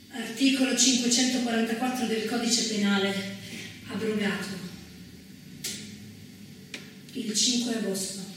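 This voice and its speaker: Stern female stage narrator, played by a woman, slightly high voice, formal measured declamation, distant from the mic. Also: slight background noise, lightly acted. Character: stern female narrator reciting laws and court rulings. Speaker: stage actress. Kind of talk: dramatic scripted theatrical narration. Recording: very echoey, distant, faint music behind. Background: faint music.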